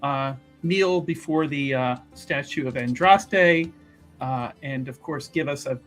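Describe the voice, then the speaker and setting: A man playing a tabletop roleplaying game, improvising his character's actions in a halting, wordy way. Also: medium pitch, a bit bright, soft background music.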